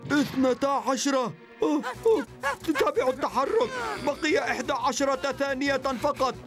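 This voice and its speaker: A man voicing a flustered, excitable town mayor in a children's cartoon dub, fretful and full of exclamations. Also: very high voice, brisk, over music. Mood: panicked, urgent.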